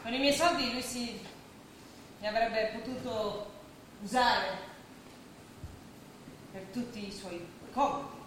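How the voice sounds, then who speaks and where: Defiant young heiress, very echoey. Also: female voice, fairly high pitch, slow pace, pauses often. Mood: bitter. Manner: accusing, theatrical. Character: defiant young heiress, bitter and demanding, about to marry. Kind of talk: staged radio drama acting in Italian. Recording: very echoey, distant stage recording, faint noise.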